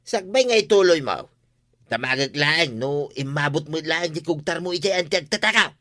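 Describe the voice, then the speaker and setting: A man's voice: a little high, expressive, moderate pace, sharp tone, no echo, studio quality. A man with an Ilocano accent playing a nosy, easily baffled village friend in a radio comedy drama, prodding and teasing.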